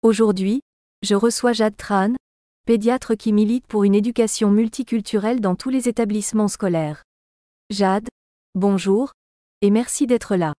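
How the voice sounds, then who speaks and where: Actress as a mellow, courteous interviewer. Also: female voice, mid-range voice, a little slow, no echo, studio quality, close to an everyday voice. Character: courteous female radio interviewer introducing guests. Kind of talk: scripted interview introduction.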